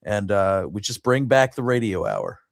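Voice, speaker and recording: A man's voice: on the low side, huge pitch swings, normal speed, vocal fry, no room sound, studio quality. A man co-hosting a podcast, chatting casually in an easygoing, laid-back way. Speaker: podcast co-host.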